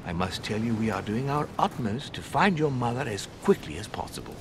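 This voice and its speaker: A man's voice: expressive, fairly quick, close to an everyday voice. A man playing a deferential, ceremonious manor servant in a video game, formal and eager to reassure. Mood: deferential welcome. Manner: obsequious, formal, addresses listener in third person. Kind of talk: video game cutscene acting. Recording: constant rumbling background noise.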